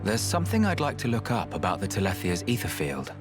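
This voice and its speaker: A weary, level-headed researcher in a game. His voice is low and understated, thoughtful and pragmatic, over a clearly audible music track. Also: wildly swooping pitch, fairly quick. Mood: matter-of-fact. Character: calm, measured male researcher, weary and pragmatic elder. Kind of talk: video game voice acting.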